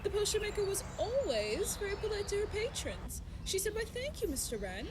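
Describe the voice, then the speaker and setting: A prim storybook narrator played by a woman with a high, very lively voice. She tells a fairytale in a polite sing-song, fussy and controlling, insisting that things go her way. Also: fairly quick, noisy background. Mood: prim, cheerful.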